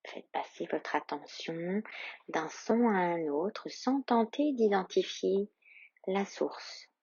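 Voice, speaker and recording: A woman yoga instructor leading a guided meditation in a calm, soothing, soft and breathy voice with long, measured pauses. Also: medium pitch, dry recording, lo-fi sound.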